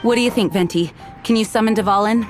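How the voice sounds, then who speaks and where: A woman's voice: medium pitch, fast talker, faint music behind, lightly acted. A woman playing a composed, dutiful knight commander in a video game, speaking formally. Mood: questioning. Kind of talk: video game character acting.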